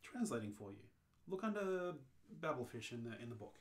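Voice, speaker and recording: Amateur reader as worldly alien hitchhiker, deadpan, matter-of-fact, medium pitch, radio play dialogue. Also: brisk, natural voice. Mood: dry, unfazed.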